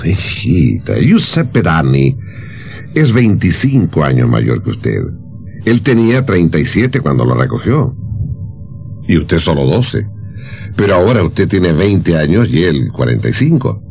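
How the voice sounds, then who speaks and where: An actor playing a shrewd, tactful impresario in an old radio drama, with a measured, avuncular, sometimes hesitant manner. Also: male voice, low-pitched, big pitch swings, gravelly, a little mellow, faint music behind, full character voice. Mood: confiding. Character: shrewd, tactful businessman, male impresario of a musician.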